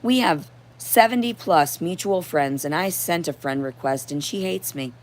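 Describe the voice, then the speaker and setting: A woman with a hoarse voice giving a rambling, unscripted livestream monologue in a confrontational, profane and disjointed manner. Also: on the low side, normal speed. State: hoarse throat. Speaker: livestream host.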